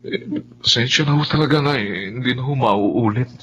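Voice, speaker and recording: An actor playing a humble, put-upon working-class son-in-law, plain-spoken and deferential in a melodrama. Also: male voice, mid-range voice, normal speed, a bit bright, breathy edge. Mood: apologetic. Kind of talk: Filipino melodrama acting. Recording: dull lo-fi audio, background noise.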